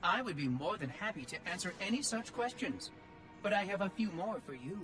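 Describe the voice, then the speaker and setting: An actor voicing a prim, inquisitive ancient machine oracle in a video game, precise and fussy in speech. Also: male voice, high voice, big pitch swings, fairly quick, low-quality recording. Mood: cool, pedantic. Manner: precise, articulate, probing, fussy. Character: prim, inquisitive ancient robot oracle, male, coolly pedantic. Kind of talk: video game cutscene dialogue. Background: music.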